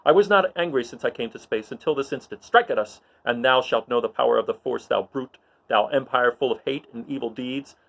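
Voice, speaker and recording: A man's voice: mid-range voice, a little fast, vocal fry, fairly bright tone. A man voicing a young, idealistic rebel pilot, earnest and fiery, in a declamatory Shakespearean dramatic reading. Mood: defiant, rousing.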